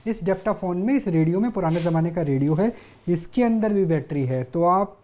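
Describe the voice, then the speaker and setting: Mellow woman presenting news demonstrations. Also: lowish voice, brisk, a little hiss or hum. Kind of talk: live demonstration reporting.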